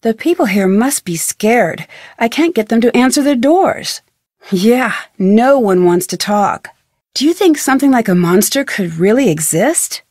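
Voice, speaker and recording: An American actress playing a curious, polite, plucky young woman detective in a video game, speaking scripted lines in a clear, earnest way. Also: a little high, expressive, unhurried, darkish tone, dry recording, studio quality. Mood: curious, friendly.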